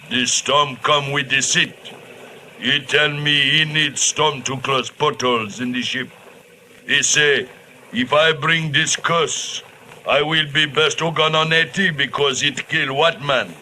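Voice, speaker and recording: An evasive, solemn old curse-worker in a vintage radio drama. His broken English comes slowly, in terse pieces, with a hard, very bright edge. The old recording carries some noise. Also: medium pitch, poor audio.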